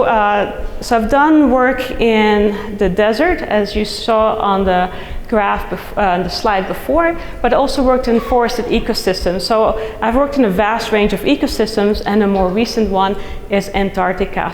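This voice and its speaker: A middle-aged woman from the Netherlands with a Dutch accent, giving an enthusiastic, chatty conference talk as a soil scientist, full of fillers and restarts. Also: mid-range voice. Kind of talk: conference presentation. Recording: echoey hall recording, faint noise.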